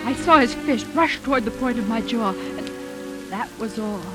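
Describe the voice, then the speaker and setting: An American actress playing a frightened, spirited young captive in a 1940s radio drama, breathless and emotive. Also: female voice, a little high, moderate pace, over music, lightly acted. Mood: shaken recounting. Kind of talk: 1940s radio acting, dramatic first-person narration. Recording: noisy 1940s radio recording, rain behind. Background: rain and vehicle sounds.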